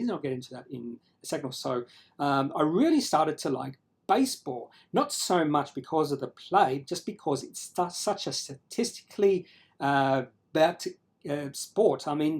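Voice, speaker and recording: A man with an Australian accent talking casually to viewers as host of his own channel, explaining things in a halting, self-correcting way. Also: a little high, a little mellow. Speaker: finance video creator and host.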